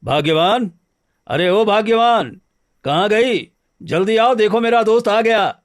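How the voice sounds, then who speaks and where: Man playing an earnest, higher-voiced cartoon woodcutter. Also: measured pace, no room sound, clean studio sound. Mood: reassuring. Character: good-natured, eager married woodcutter, a simple villager. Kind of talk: Hindi cartoon story dialogue.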